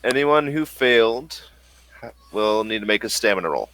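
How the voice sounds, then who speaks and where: A man narrating a tabletop horror game as game master, in slow and halting speech with many fillers. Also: lowish voice, expressive, fairly bright tone, slight background noise.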